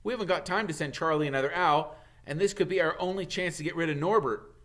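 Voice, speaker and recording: Man voicing an earnest, urgent schoolboy, pitched up. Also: quick pace, a bit bright, lightly acted.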